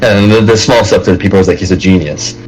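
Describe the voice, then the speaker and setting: A man co-hosting a casual panel podcast, explaining things in a halting, rambling way and swearing freely. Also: lowish voice, fairly even intonation, darkish tone, faint music behind, low-quality recording. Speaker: podcast co-host.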